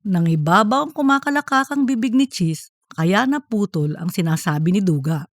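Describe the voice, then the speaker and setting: A woman narrates scripted comic fiction in an audiobook style. Her voice sits at an average pitch, recorded dry with almost no room sound. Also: animated, normal speed, dry recording.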